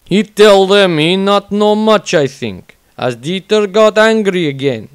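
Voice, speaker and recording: A man's voice: high-pitched, animated, a little slow, smooth, no room sound. A man voicing a blunt, simple-minded barbarian warrior who tells a violent fantasy diary in clipped, broken grammar. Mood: blunt, amused. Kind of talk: in-character diary narration, fantasy audio story.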